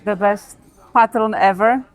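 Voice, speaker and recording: Polish-accented woman, mid-pitched voice, short grateful phrases, diner worker. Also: a little slow, faint music behind. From Poland. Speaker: diner employee. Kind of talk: casual interview.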